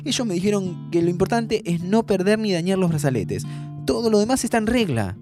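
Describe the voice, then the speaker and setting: A young Argentine man with a high, very animated voice. He is hopeful and playful yet pleading, speaking in halting, informal phrases over background music, as in intimate audio fiction. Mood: eager, wistful. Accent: Argentine, Buenos Aires. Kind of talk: naturalistic audio fiction acting.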